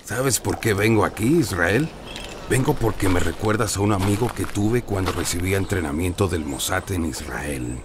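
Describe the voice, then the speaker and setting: An actor in an audio drama playing a boastful, menacing security dealer with secretive airs and a measured, self-important manner. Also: male voice, low voice, expressive, background noise. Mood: secretive, confiding. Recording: music bed behind the voice.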